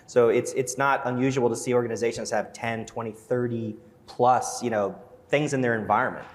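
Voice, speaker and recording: A man, a security executive on a conference panel, speaking in quick bursts with frequent restarts. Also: mid-range voice, steady tone. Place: conference stage. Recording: reverberant conference hall.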